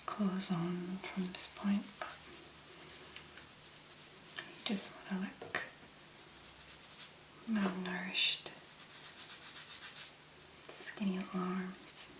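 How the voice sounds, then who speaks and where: A woman speaking very softly and breathily, in a gentle, unhurried ASMR make-up tutorial style. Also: fairly low pitch, flat delivery, echoey room, background noise. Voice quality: breathy, whispery. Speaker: ASMR video creator.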